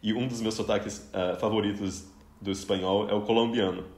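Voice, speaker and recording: A man's voice: fairly low pitch, fairly even intonation, a little fast, a little mellow. Chatty Brazilian man in a roomy room. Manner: chatty, with frequent restarts and easy laughter. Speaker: podcast host interviewing a guest. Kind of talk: casual interview conversation.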